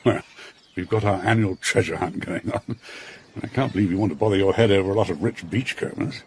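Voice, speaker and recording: An actor playing a genial, complacent British colonial official in a radio drama, avuncular, clubby and animated. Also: male voice, deep voice, fairly quick, slight background noise. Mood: complacent, dismissive.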